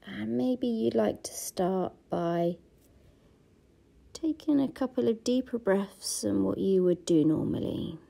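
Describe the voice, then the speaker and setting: A woman guiding a gratitude body scan meditation, speaking softly and slowly and often dropping into a half-whisper. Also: mid-range voice, expressive, creaky. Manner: slow, soft-spoken, often half-whispered. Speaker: meditation guide. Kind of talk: guided meditation.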